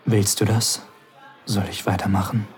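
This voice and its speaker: A man acting in an intimate audio drama as a cheeky best man, soft and halting as he courts a woman. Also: lowish voice, flat delivery, over music, close to an everyday voice. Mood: intimate, wanting. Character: cheeky best man, daring but suddenly hesitant suitor.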